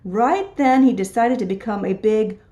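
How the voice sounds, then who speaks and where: A woman reading a children's storybook aloud in an expressive storytelling style. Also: mid-range voice, moderate pace. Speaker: storybook reader. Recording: echoey room.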